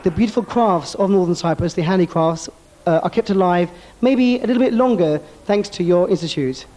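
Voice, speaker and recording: Quick high-voiced male interviewer. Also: dark tone, slight background noise. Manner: quick, encouraging, leading questions. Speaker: interviewer and presenter. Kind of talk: broadcast interview. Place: indoors at a folk arts institute.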